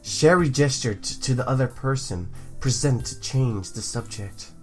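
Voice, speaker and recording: A woman's voice: rumbling low, animated, normal speed, faint music behind. A woman hosting a let's play, reading a visual novel aloud with playful commentary.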